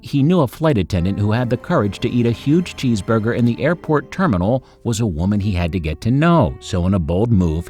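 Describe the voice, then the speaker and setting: A man's voice: lowish voice, darkish tone. A man hosting a story channel, reading scripted narration in an upbeat, suspenseful storyteller manner.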